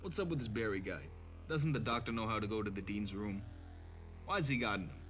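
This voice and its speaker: A man playing a brooding police inspector with a dark secret in an amateur audio drama, talking tersely. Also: lowish voice, animated, brisk, soft background music. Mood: suspicious.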